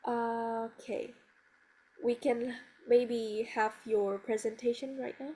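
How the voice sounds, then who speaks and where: A woman's voice: a little high, fairly even intonation, takes it slowly, dark tone. A young woman hosting an online event, introducing the speaker with some hesitant fillers over a video call. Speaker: event host.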